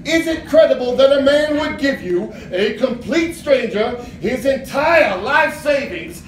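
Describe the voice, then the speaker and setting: A man on stage playing a sly, bombastic prosecutor with sneering, drawn-out courtroom oratory. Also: extremely high pitch, animated. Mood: accusing, bombastic. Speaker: actor in a stage play. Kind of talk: stage adaptation of a 1950s radio drama. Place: live theatre stage. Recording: echoey theatre with background noise.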